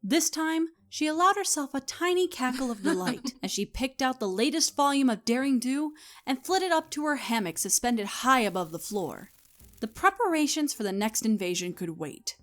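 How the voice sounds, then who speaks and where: A woman reading scripted story narration as the narrator, in an expressive storytelling manner. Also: high-pitched, normal speed.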